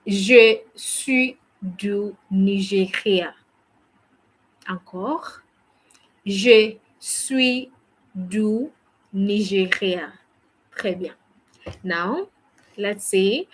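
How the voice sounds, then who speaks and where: A young woman from Ghana leads a French drill at an unhurried, measured pace. She says short phrases and has the listener repeat them. Her voice sits at an ordinary middle pitch. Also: a bit bright. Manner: patient, repeats phrases for the learner to echo. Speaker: French teacher. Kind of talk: slow, drilling language lesson.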